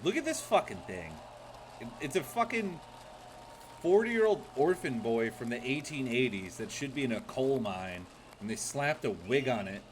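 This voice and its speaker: This man talks loud and profane, mocking everything he hears, and his pitch leaps around all over the place. It sounds like a home streaming setup on a desk mic, with a faint hiss under his voice. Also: sing-song, normal speed. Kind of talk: crude live reaction commentary.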